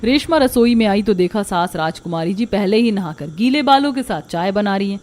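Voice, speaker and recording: A woman narrating a scripted cartoon family story in an expressive storytelling manner. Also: mid-range voice, brisk.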